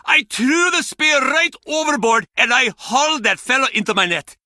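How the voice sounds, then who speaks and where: A crusty old seafarer from a video game. His forceful voice leaps up and down as he grumbles curtly or spins grand, theatrical yarns, all captured close and dry. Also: very high-pitched, expressive, moderate pace, no room sound, full character voice. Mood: boastful storytelling. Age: older. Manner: blustering, curt, theatrical storytelling. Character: gruff, cantankerous old fisherman given to tall tales. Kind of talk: video game character acting.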